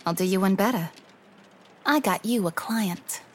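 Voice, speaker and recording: Husky, wisecracking noir secretary, played by a woman. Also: medium pitch, natural voice. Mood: sardonic. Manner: sardonic, quick with quips. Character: wisecracking, sassy female secretary to a private eye. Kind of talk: scripted noir audio drama acting.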